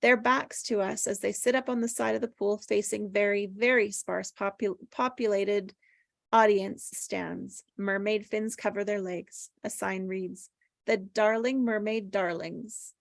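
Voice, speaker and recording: A woman's voice: mid-range voice, steady tone, unhurried, gravelly, no room sound, clean studio sound. A woman reading screenplay stage directions as plain, descriptive narration at a table read.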